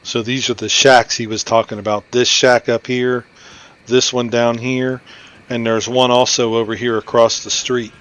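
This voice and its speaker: A man hosts a game playthrough in an average-pitched voice. He talks casually through what he is doing, as in an informal gaming video. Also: little pitch movement, normal speed, fairly bright tone, highs cut off.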